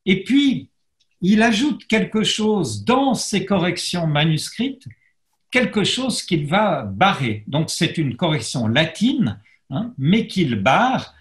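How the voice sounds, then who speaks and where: A man giving an academic lecture as a historian, pausing and restarting often as he reads and translates verse. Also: high voice, very animated, reverberant. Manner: hesitant, frequent pauses and restarts; self-deprecating about his Latin pronunciation. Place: lecture setup facing a camera, roomy.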